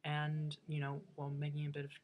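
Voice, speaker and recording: A man's voice: fairly high pitch, completely flat delivery, brisk, a little mellow. A young man from the United States with a slight Norwegian accent, telling a funny personal story in a chatty, self-mocking way with hesitant restarts. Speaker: vlogger. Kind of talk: casual storytelling.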